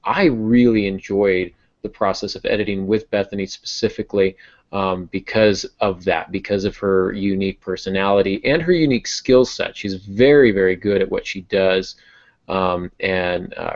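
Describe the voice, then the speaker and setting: A man hosting a casual podcast discussion, rephrasing himself as he talks, halting now and then. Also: low-pitched. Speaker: podcast host and novelist. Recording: dull, muffled lo-fi audio.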